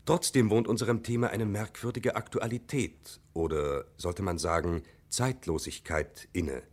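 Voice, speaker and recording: A man reading a formal essay text in a measured, deliberate way. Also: fairly low pitch, fairly even intonation, darkish tone. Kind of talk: read essay narration.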